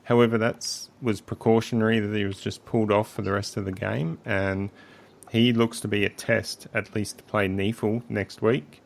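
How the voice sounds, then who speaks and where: A lowish-voiced man with a croaky throat hosts a laid-back sports podcast. He runs through the segments and steers the talk. He fills his sentences with relaxed ums. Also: moderate pace. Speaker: podcast host. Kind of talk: casual sports podcast chat.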